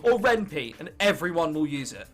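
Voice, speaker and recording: Young male gamer, English accent, animated swooping delivery, jokey and sweary, high voice, music behind. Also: moderate pace. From England. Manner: jokey, sweary, self-interrupting. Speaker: gaming video host. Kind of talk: casual let's play commentary, reading game text aloud.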